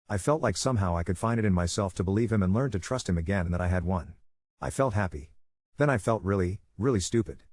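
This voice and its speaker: A man narrating an online story in a brisk, even reading style. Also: deep voice, no echo.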